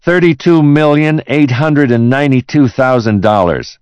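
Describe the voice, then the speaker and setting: A man's voice: medium pitch, moderate pace, a little mellow, clean tone, dry recording, muffled recording, voice pushed up, natural voice. A man voicing a knowing, blunt male henchman in an audiobook. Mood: knowing, insinuating.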